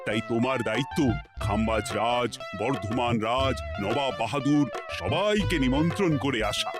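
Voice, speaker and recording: A man voicing a kindly, authoritative king in a cartoon, with a measured, commanding manner. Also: mid-range voice, over music, lightly acted. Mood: pleased. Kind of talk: cartoon voice acting.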